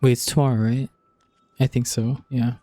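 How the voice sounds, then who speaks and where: A man's voice: mid-range voice, flat delivery, normal speed, mellow, no room sound, soft background music. A man streaming a video game and chatting casually as he plays. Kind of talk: casual chat while playing a game.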